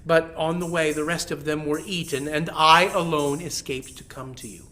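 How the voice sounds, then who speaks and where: A storyteller voicing a small, clever male rabbit who speaks meekly and flatters the king. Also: mid-range voice, normal speed, faint music behind, close to an everyday voice. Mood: humble. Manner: meek, flattering.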